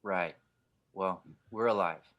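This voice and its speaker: A man's voice: sits low, takes it slowly, a bit bright. A man playing a blunt, protective, reassuring starship pilot in an audio drama table read. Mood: grave, blunt. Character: gruff, steady older male starship pilot, protective of his companion.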